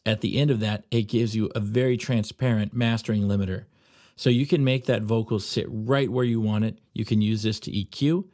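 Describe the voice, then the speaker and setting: A man with a slightly low voice narrates a software tutorial. He explains settings step by step as an instructor. The recording is close and dry, with little room sound. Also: no room sound. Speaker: audio plug-in tutorial presenter.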